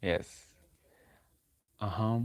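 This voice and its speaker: A man's voice: on the low side, extremely slow, no echo. A young man hosting a livestream, talking casually about dating advice, repeating himself as he thinks aloud and answering chat. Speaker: livestream host.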